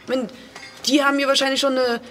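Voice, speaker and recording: Defiant, frustrated activist, insistent delivery. Audio drama, echoing hall. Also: female voice, sits high, level tone, fast talker, sharp tone, a little hiss or hum. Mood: indignant. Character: defiant, frustrated female activist recounting police betrayal. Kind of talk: naturalistic audio drama acting.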